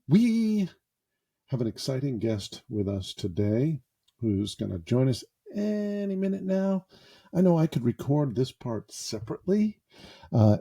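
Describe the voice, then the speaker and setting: Brief: male podcast host, self-deprecating and jokey, unhurried delivery, very dark tone. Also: mid-range voice, gravelly. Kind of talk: improvised casual podcast monologue. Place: small closet padded with sound foam.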